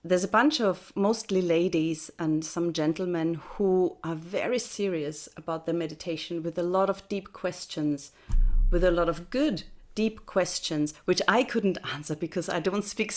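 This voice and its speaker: A woman from Austria speaking on a video call as a guest speaker, chatty and laughing often. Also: mid-range voice. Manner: chatty, laughs often, restarts mid-phrase. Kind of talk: casual spoken reflection. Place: home in Texas, early morning.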